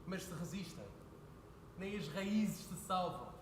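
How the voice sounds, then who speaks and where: A young man playing an earnest son who pleads with his father, building to heated shouting. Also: sits high, animated, slow, slight background noise. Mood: pleading. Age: young adult. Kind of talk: stage play performance. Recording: echoey hall with faint ambience.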